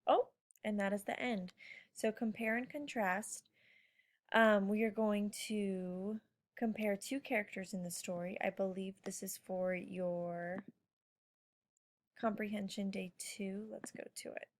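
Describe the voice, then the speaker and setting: A woman with an average-pitched voice speaks as an elementary teacher in an informal lesson. She addresses young children directly, guiding them with questions and short comments. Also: steady tone, unhurried.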